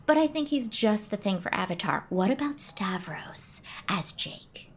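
An actress playing an imperious, self-absorbed Broadway diva with a gushing, condescending, bossy manner in a comedic audio drama. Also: female voice, medium pitch, expressive, a little slow, husky. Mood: dismissive, bossy.

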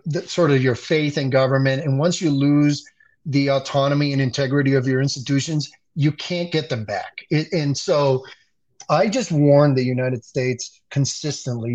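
A man's voice: medium pitch, darkish tone. A man from the Dominican Republic, a communications expert speaking earnestly as a guest in a political interview, often restarting and repeating words.

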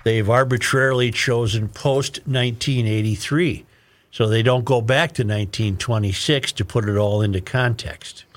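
A man's voice: mid-range voice, steady tone, normal speed. Opinionated American man hosting talk radio. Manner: opinionated, emphatic, repeats phrases for emphasis. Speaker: talk show host. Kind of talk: reading an article aloud with commentary.

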